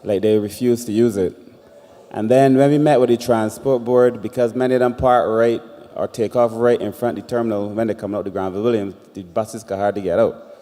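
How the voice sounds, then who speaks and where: Mellow-voiced man chairing a meeting. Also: on the low side, fairly even intonation, a little fast. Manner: firm, speaks of order and enforcement. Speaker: chair of a public consultation. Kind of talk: chairing a town hall meeting. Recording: echoey hall.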